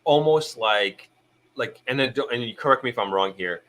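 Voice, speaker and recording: A man's voice: medium pitch, normal speed, a bit bright. A young man born in Jamaica, hosting a live stream and chatting casually about viewer comments, often restarting his sentences as he thinks aloud.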